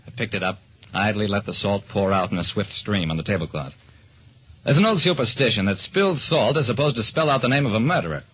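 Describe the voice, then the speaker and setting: A man's voice: medium pitch, fairly bright tone, husky. An actor, a man, playing a hard-boiled private detective who narrates his case in a clipped, dramatic old-radio style. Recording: dull old-time radio recording.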